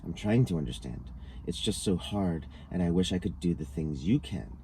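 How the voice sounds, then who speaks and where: Very bright, very deep-voiced man reading. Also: lively intonation, normal speed. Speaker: reader of a written transcript. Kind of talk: scripted reading of an interview dialogue. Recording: noticeable background noise.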